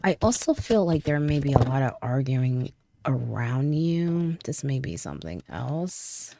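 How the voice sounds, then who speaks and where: A young woman with a very low, gravelly voice and a mellow, dark tone reads tarot in a loose, chatty way. She sounds a little stuffy from a cold. The recording is dry and close. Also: sing-song, unhurried, slight background noise. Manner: chatty, laughs often, repeats "I feel like". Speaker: tarot reader. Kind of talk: casual tarot reading to camera.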